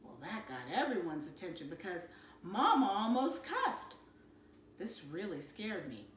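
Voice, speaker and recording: A woman reading a children's novel aloud in an animated, dramatic storytelling manner. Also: lowish voice, unhurried. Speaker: read-aloud narrator. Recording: roomy, distant mic, faint noise.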